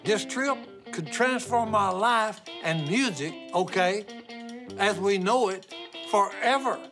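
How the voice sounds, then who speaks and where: Older guy, high pitched, rambling storyteller, music going behind him. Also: takes it slowly. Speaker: reality show cast member. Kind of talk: casual banter.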